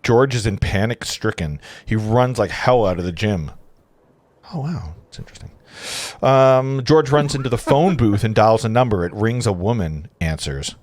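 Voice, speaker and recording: A man's voice: on the low side, animated, normal speed, dry recording. A man narrating stage directions at an informal script read, casual and stumbling a little over the text.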